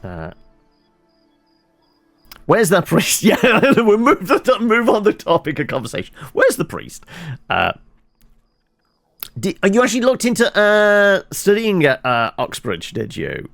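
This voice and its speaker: A man gives casual, wry commentary while streaming a game. His mid-range voice moves animatedly and often drops into vocal fry as he rambles to viewers. It is recorded close and dry, with faint music underneath. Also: high voice, wildly swooping pitch, a little slow, long pauses, fairly bright tone, dry recording. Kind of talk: casual live playthrough commentary, chatting with viewers.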